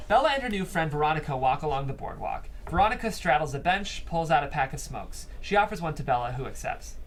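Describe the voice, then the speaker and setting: A man's voice: fairly high pitch, a bit bright, a little hiss or hum. A man reading stage directions as narrator at a script table read.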